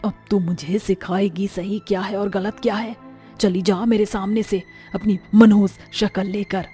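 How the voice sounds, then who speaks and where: A woman voicing a harsh middle-aged mother-in-law who curses and scolds her new daughter-in-law in a slow, drawn-out way, over faint music. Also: medium pitch, fairly even intonation, mellow, natural voice. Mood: scolding. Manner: slow, drawn-out, cursing and accusing.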